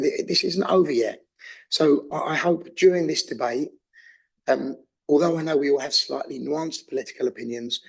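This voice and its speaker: Male councillor, hoarse and raspy after a cold, gravelly, earnest persuasive debate speech. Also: fairly high pitch, rough recording. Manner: earnest, persuasive, with some restarts. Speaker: councillor moving a motion. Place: council chamber.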